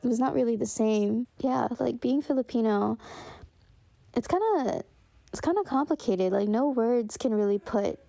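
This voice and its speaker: A young woman talks through her memories in a reflective, wistful way. She often pauses, says "um" and "like", and restarts her sentences. Her mellow voice has a gravelly fry, and the recording is close and dry. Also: fairly high pitch, lively intonation, no room sound. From United States. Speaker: storyteller sharing her family history. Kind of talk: unscripted personal reflection.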